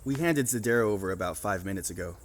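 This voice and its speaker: An actor playing an overconfident field agent who reports in a casual, matter-of-fact way. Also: male voice, fairly low pitch, expressive, fast talker, slight background noise, lightly acted.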